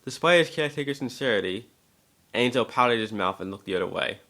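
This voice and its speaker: A man's voice: medium pitch, lively intonation, brisk, bright, forceful tone. A man narrating a story as an amateur dramatic reader, occasionally stumbling over the text.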